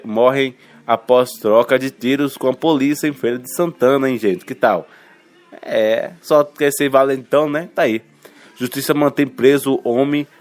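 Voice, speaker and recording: Male radio newsreader, slightly congested with a cold. Informal, halting headline delivery with tag questions, medium pitch, music bed. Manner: colloquial, halting, stumbles over words and self-corrects, tags remarks with questions. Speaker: radio news presenter. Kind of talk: informal reading of radio news headlines with asides.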